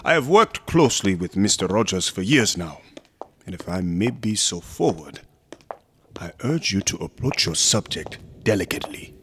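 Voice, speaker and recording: An actor playing a courteous, guarded museum assistant in a radio horror drama, formal and deliberate in manner. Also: male voice, sits low, very animated, a little hiss or hum. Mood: courteous, cautioning. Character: courteous, guarded male assistant to a sinister sculptor.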